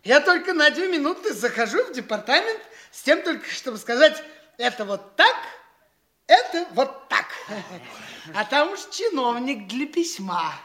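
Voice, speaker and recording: A very high, bright voice that swoops about as a foppish young official from the capital. He is boastful and gallant in an affected, drawn-out stage-comedy style. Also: sing-song, full character voice. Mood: boastful, excited. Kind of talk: theatrical classic stage comedy acting.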